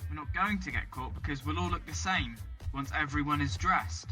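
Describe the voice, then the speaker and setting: A man's voice: fairly high pitch, moderate pace, bright, forceful tone, faint music behind. A man playing a cocky, teasing young gang leader in an audio drama. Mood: brisk, cocky.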